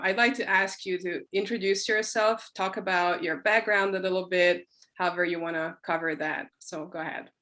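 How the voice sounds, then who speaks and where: Woman, young adult, podcast host, upbeat, friendly, mid pitch. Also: fairly bright tone. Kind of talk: podcast hosting.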